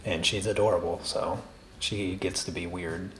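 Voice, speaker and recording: A man hosting a drawing livestream, chatting casually with viewers in a very soft, quiet voice and a slow, deliberate cadence full of small restarts. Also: deep voice, steady tone, slight background noise, rough recording. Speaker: art livestream host.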